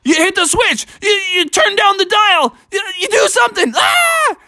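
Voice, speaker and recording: A man playing an excitable, easily spooked fisherman hunter in a children's adventure story. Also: very high voice, drawn-out words, creaky, dry recording, studio quality, voice pushed up, exaggerated voice. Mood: panicked.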